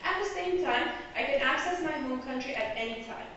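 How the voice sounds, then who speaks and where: A woman from Egypt presents graduate research in an explanatory tone, often pausing to check that her listeners follow. Her fairly high voice comes from far off in a strongly echoing room. The sound is dull and lo-fi, with a faint hiss behind it. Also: animated, a bit bright. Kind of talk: academic presentation. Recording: echoey hall, distant lo-fi mic.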